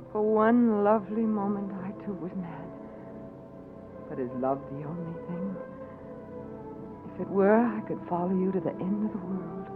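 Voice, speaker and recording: A woman's voice: medium pitch, close to an everyday voice. An actress playing a noble young queen torn between love and duty, in a 1940s radio drama. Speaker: lead actress. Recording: tinny old radio broadcast with music. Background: orchestral score.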